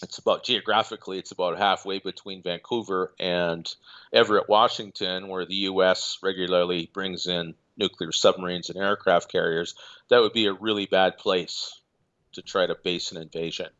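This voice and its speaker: Man, very low voice, guest on a call, hesitant with fillers, muffled lo-fi sound. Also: steady tone, fairly bright tone. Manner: measured, hesitant, with many fillers. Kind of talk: casual remote call-in chat.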